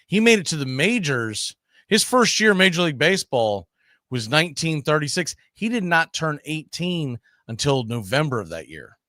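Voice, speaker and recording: Bright-voiced man hosting casual baseball talk. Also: fairly high pitch, very animated, normal speed, dry recording, clean studio sound. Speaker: host of a live baseball history radio show. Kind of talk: casual sports chat with co-host.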